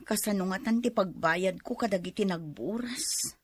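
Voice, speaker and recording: An actress playing a struggling younger sister who worries about money and pleads for help, in a drama. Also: female voice, medium pitch, moderate pace, breathy edge, dry recording, close to an everyday voice. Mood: worried. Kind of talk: radio drama acting.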